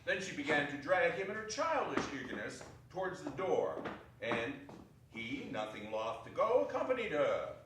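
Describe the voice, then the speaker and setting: A man's voice: a little high, very animated, normal speed. A man narrating a scripted story as a dramatic reading. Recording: very echoey hall, distant mic, faint noise.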